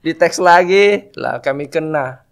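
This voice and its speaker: A man's voice: a little high, slow. A man from Vietnam with a Vietnamese accent, answering in a casual interview and often searching for words in a language that is not his native one. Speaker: podcast guest, religious brother studying theology.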